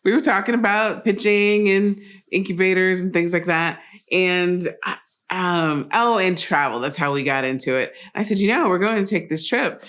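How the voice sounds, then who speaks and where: Woman hosting a relaxed conversational interview. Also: lowish voice, moderate pace. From Trinidad and Costa Rica background. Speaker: interview host.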